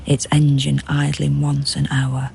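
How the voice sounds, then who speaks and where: A grave female narrator in a poetic radio play. She speaks slowly and deliberately, with a hushed, creaky edge. Faint ambient noise sits behind her voice. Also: low voice, monotone, exaggerated voice. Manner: measured, hushed, deliberate verse delivery. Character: grave poetic narrator watching over men sleeping in cars.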